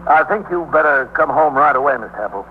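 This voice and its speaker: A man playing a calm, commanding police captain in 1950s radio drama style, giving brisk, authoritative orders. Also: a little high, lightly acted. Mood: calm, measured. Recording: tinny, hissy 1950s radio broadcast.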